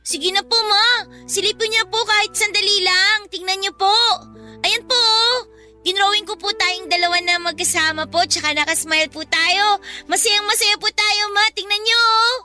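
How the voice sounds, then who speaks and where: Very high, bright little girl. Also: moderate pace, faint music behind, exaggerated voice. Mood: pleading. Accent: Filipino. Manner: polite, pleading, childlike. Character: sweet, eager seven-year-old girl craving her mother's attention. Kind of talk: Tagalog radio drama acting.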